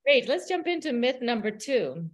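A woman's voice: a little high, big pitch swings, normal speed. Encouraging woman podcast host. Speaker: podcast host, English teacher. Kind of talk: podcast conversation.

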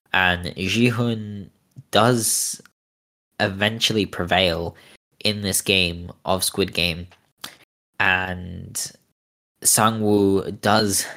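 Slow, low-voiced man recapping a show. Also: fairly bright tone. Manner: hesitant, many fillers and restarts. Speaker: podcast co-host. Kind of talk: casual recap of a TV series.

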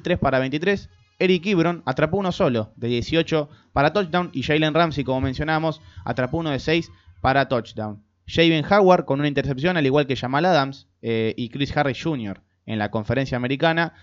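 A man co-hosting a casual sports podcast, reading statistics steadily with frequent "eh" fillers, with an Argentine Spanish accent. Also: medium pitch. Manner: lists numbers steadily with many fillers. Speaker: podcast co-host. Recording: muffled lo-fi podcast audio.

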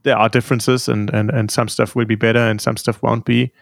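A man's voice: mid-range voice, level tone, fairly quick, gravelly, dry recording, studio quality. A man hosting an interview, asking long, thoughtful questions that wind as he goes. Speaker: interviewer, host. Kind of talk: conversational interview.

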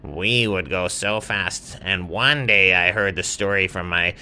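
Man voicing an eager, bright-voiced cartoonish lizard. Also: deep voice, clear, resonant tone, exaggerated voice. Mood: eager, proud. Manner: drawn-out, emphatic, repeats words. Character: speedy chatty friendly lizard proud of his family. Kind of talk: children's bedtime storytelling.

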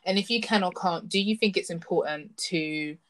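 A woman's voice: lowish voice, normal speed, fairly bright tone. A woman podcast host in casual chat, asking questions with short encouraging reactions.

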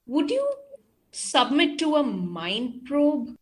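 A woman acting in an audio play as a probing, commanding mind prober who speaks in a deliberate, halting, instructing way. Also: sits high, big pitch swings, darkish tone, full character voice. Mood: probing, curious.

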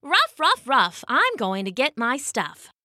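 A sly, hungry yet companionable storybook fox , as a woman puts it on. The delivery drawls and barks with lively, swooping pitch. The sound is bright, close and dry. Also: a little slow, dry recording, full character voice. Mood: rowdy. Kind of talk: children's storybook character voice.

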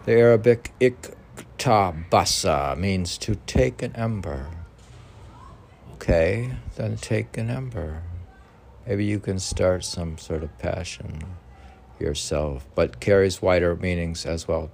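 A man hosting an informal poetry podcast, reading aloud haltingly and stumbling over words, with muttered asides. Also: sits low, vocal fry, a little mellow.

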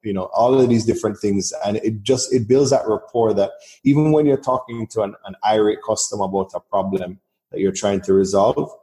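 A low-voiced Jamaican man with a dark, mellow tone, answering interview questions about his line of business. He speaks at length and restarts phrases, doubling words as he builds his point. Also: brisk, low-quality recording. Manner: fluent, repeats words while finding his point. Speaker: business process outsourcing guest. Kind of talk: podcast interview.

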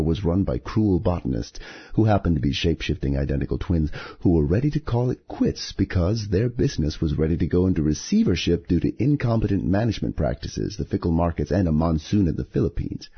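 A man hosting a poetry podcast, reading scripted material in a measured, deliberate way with a husky voice. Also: sits low, lo-fi sound. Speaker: podcast host and poetry reader.

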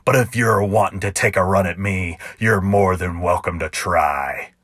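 A man voicing a gruff cowboy bounty hunter who talks low and slow. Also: fairly bright tone, no room sound, clean studio sound, exaggerated voice. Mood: menacing. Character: gruff slow-talking grown male cowboy.

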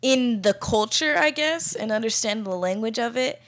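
A woman's voice: fairly high pitch, lively intonation, normal speed. A young American woman co-hosting a podcast, talking earnestly and reflectively in a casual way, with lots of "like" fillers. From United States. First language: English. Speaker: podcast co-host. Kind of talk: casual conversational chat.

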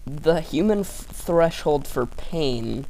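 A woman with a very low, mellow voice chats casually, halting and wry as she drops trivia. Her mic adds a faint crackle under her words. She speaks slowly, often stopping to restart. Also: animated. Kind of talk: casual online chat. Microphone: headset or desk mic over voice chat.

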